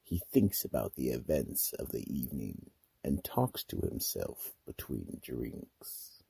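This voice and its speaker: A male radio mystery narrator with an extremely husky, dark voice. He is dramatic and deliberate, swinging his pitch for ominous effect, recorded close and dry. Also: very animated. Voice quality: raspy, gravelly. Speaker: narrator and announcer.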